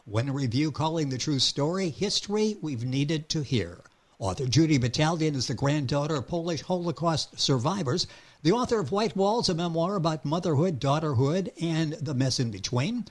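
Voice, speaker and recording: A middle-aged man hosting a radio interview show, introducing his guest with an energetic, emphatic announcer delivery. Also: on the high side, normal speed.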